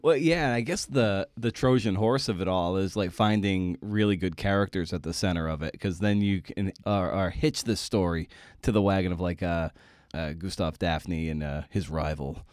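Male podcast host, lower pitch, dry close recording, informal and playful, conversational delivery. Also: moderate pace, a little mellow, no room sound. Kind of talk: casual conversational interview with scripted introduction.

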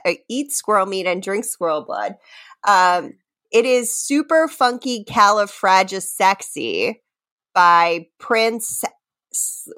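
A woman's voice: medium pitch, pushed and bright, dry recording. A woman in her thirties co-hosting a casual comedy podcast, playful and jokey, often laughing as she talks. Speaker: podcast co-host and game quizmaster. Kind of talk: casual comedy chat with playful dramatic readings of song lyrics.

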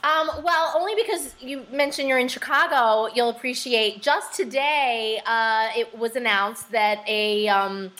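A woman's voice: fairly high pitch, steady tone, measured pace, few pauses, fairly bright tone, rough recording. A woman actor, a guest on a podcast, chatting casually and modestly with many fillers.

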